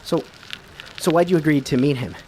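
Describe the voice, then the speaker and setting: A man's voice: on the high side, brisk, dark tone, a little hiss or hum, lightly acted, low-quality recording. A man playing an inquisitive radio documentary host, narrating and interviewing with earnest, understated curiosity. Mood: curious, probing.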